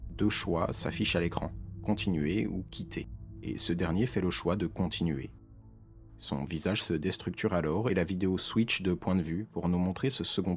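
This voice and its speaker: Casting: male explainer narrator, deep register, serious and measured, faint music underneath. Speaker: narrator of video essay. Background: background music.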